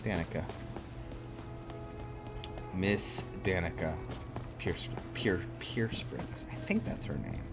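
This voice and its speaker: Low-voiced, slow-talking man gaming. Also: animated, creaky. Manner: halting, thinking aloud. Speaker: gamer narrating his roleplay playthrough. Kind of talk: casual game commentary with lore explanations. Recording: music behind the voice. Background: game music and game characters.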